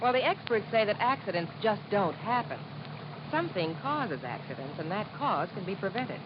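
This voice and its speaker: A woman's voice: mid-range voice, big pitch swings, normal speed, natural voice. An actress playing a chatty policeman's wife in an old radio safety sketch, earnest and cheerfully instructive. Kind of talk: 1950s radio public service sketch. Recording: muffled old radio, loud noise behind.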